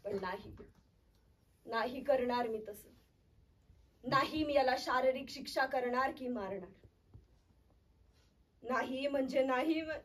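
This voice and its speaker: A woman's voice: extremely high pitch, lively intonation, slow pace, pauses often, exaggerated voice. A woman playing a volatile, self-pitying mother scolding her child in a theatrical stage monologue. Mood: restrained. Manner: theatrical, swinging between shouting, pleading and sobbing. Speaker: actor performing a theatre monologue. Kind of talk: dramatic Marathi stage monologue. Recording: voice far from the mic.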